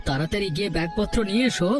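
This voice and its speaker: A proud wandering family man voiced by a man for a cartoon tale. He speaks earnestly and dramatically in a slightly high male voice, with faint music behind. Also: very fast, lightly acted. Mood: proud, resolute. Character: proud, homeless village husband and father. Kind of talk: Bengali cartoon story acting.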